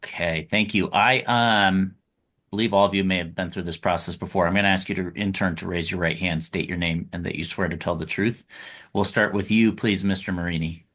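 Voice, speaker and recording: A man's voice: low-pitched, steady tone, fairly quick, fairly bright tone. A man speaking formally as an attorney administering an oath at a hearing. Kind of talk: formal procedural talk.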